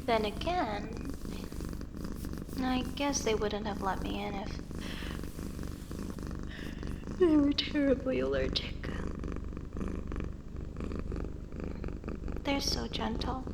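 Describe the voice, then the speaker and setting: Woman acting a high-voiced, teasing young werecat, rain behind. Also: unhurried, gravelly. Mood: soothed, wondering. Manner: expressive, self-talking, with hesitant stammers and murmurs. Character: friendly, teasing young female werecat neighbor. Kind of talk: comedic audio roleplay monologue. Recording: steady rain ambience noise behind voice. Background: rain ambience.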